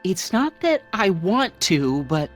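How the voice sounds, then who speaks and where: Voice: mid-range voice, big pitch swings, slow. An actor playing a stodgy, apologetic fair official in a video game, formal and hesitant, drawing out the words. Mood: flustered, apologetic. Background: music.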